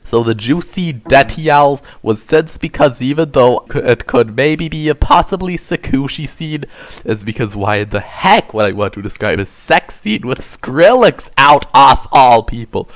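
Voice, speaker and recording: A man narrates comic fan fiction as a mock-serious dramatic reading. His mid-pitched voice moves up and down a lot, stressing phrases melodramatically. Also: animated, measured pace. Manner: melodramatic, emphatic.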